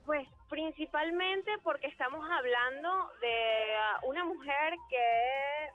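A young woman talks earnestly and thoughtfully, often pausing to gather her words. Her fairly high voice comes through a tinny phone line, with faint music underneath. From Venezuela. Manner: earnest, thoughtful, with many hesitations. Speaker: actress, interview guest. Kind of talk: phone interview.

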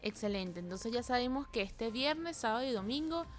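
A woman's voice: fairly high pitch, lively intonation, normal speed, soft background music. A woman hosting an interview, friendly and encouraging as she asks her questions. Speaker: host, interviewer. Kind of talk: radio interview.

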